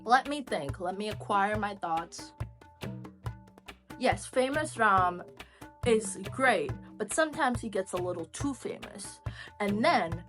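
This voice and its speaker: Young woman, from India, casual vlog chat, laughs a lot, very lively pitch, mid-height voice. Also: takes it slowly, music in the background. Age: young adult. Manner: animated, chatty, laughs often, says "bro". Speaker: vlogger.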